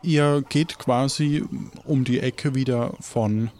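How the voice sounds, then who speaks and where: A man's voice: mid-range voice, steady tone, takes it slowly, darkish tone, a little hiss or hum. A man narrating a tabletop game as game master, hesitantly searching for words as he improvises.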